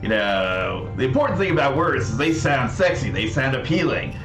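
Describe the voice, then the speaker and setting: A man's voice: medium pitch, sing-song, normal speed, a bit bright. A man voicing a patient, smug shopkeeper who coaxes and lectures a child in a comic folktale. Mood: smug coaxing. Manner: coaxing, condescending, explanatory. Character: patient, smug tobacco shop keeper, lecturing a kid. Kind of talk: comic storytelling, acting out a rakugo tale. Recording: roomy echo, music underneath.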